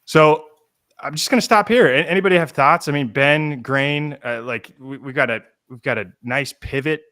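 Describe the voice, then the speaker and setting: A man's voice: on the high side, normal speed, pushed and bright, no echo, studio quality. A man hosting a casual livestream discussion, chatty and loose with many fillers and restarts. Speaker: podcast host.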